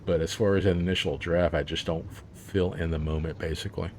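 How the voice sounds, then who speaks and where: A man's voice: low-pitched, steady tone, fast talker, darkish tone, a little hiss or hum. A man, an author, talking about his book in a casual interview, rambling with plenty of fillers and laughs.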